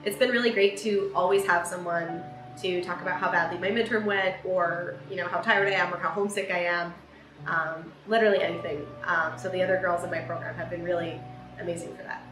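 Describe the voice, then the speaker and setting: A woman's voice: on the low side, fairly even intonation, brisk. A young woman around twenty, an engineering student, talking to camera in a chatty way with ums and restarts in a room by a large window. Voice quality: loud voice. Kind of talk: reflective talk to camera. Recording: roomy with background music.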